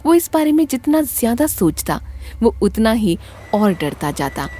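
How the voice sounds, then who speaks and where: A woman's voice: fairly high pitch, sing-song, measured pace, mellow. A woman narrating a scripted horror story as a dramatic storyteller.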